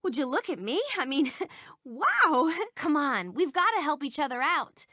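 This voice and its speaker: An actress voicing an eager, awkward, bubbly young princess in a video game, with a perky, rambling, self-conscious manner. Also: female voice, high-pitched, sing-song, unhurried, pushed and bright, dry recording, studio quality, full character voice. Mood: friendly, hopeful. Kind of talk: animated video game character voice clips.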